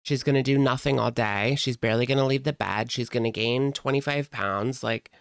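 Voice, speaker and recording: Creaky-voiced woman giving casual reaction commentary. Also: extremely low pitch, a little fast, no echo, studio quality. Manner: sassy, slangy. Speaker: reaction channel host.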